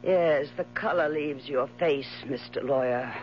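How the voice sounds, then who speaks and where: An actress in a 1970s radio drama playing a bitter, mocking dying wife with scornful, drawn-out theatrical phrasing. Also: female voice, extremely low pitch, soft background music. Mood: morbid, taunting. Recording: muffled 1970s radio recording with hum.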